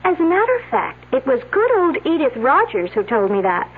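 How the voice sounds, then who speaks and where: High, husky, flippant college girl, tinny old radio. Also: big pitch swings, fairly quick, exaggerated voice. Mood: offhand. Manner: offhand, dismissive. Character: flippant young female college student, cool and gossipy. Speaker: student at a dig. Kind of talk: 1970s radio drama acting.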